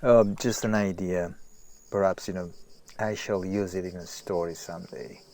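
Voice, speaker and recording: Self-absorbed older author: fairly deep, reflective, halting, audio drama. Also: male voice, steady tone, a little mellow. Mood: musing. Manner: hesitant, reflective, detached. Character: self-absorbed, musing older male writer. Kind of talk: naturalistic audio drama acting.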